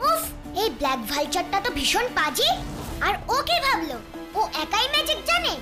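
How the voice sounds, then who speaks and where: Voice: very high-pitched, very animated, normal speed. A performer voicing a plucky, caring child ghost in a children's cartoon, eager and exclamatory. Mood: determined, reassuring. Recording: children's music behind.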